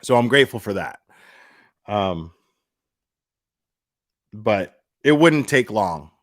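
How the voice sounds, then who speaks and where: A man's voice: fairly low pitch, animated, slow pace, fairly bright tone, no echo, clean studio sound. A man speaking casually and off the cuff like a live streamer on a rant, blunt and profane, repeating phrases for emphasis. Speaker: livestream commentator.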